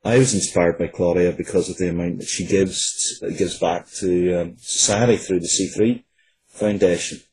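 A man giving a casual interview as a writer, in a halting, conversational way. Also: low voice, fairly even intonation, darkish tone, a little hiss or hum, poor audio.

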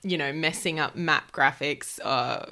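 A woman's voice: low voice, measured pace, pushed and bright. A woman, chatting casually about the news as a podcast co-host.

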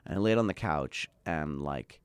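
A man's voice: extremely low pitch, expressive, normal speed, clear, resonant tone, dry recording. A middle-aged man telling a story in a casual interview, chatty and full of "like", acting out what people said. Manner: chatty, lots of "like", acts out quoted voices. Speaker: guest.

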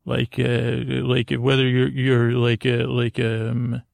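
Male sleep-podcast host, creaky voice, dry close sound, halting and whimsically meandering. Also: mid-range voice, completely flat delivery, a little mellow, no room sound. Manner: halting, meandering, repeats himself; whimsical, tongue-in-cheek. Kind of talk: rambling improvised sleep podcast monologue.

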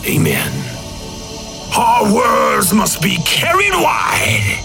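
Fired up preacher, slow drawn out chanting, gravelly creak, music under him. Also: male voice, extremely high pitch, wildly swooping pitch, shouting, heavily acted. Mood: fervent, exhorting. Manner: bombastic, drawn-out, chanting exhortation. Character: fervent male revival preacher spreading his gospel. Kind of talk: theatrical sermon parody promo.

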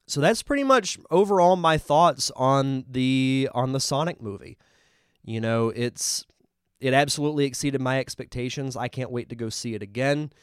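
A man's voice: mid-range voice, moderate pace, smooth, no echo, clean studio sound. A man with a congested voice hosting a live podcast, chatting casually and enthusiastically as he reviews a film. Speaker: podcast host.

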